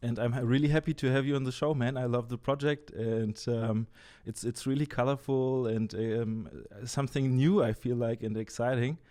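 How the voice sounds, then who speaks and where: A man's voice: medium pitch, fairly even intonation, moderate pace, few pauses, mellow. A man hosting a casual interview, chatting in an enthusiastic, affirming way. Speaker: show host interviewer.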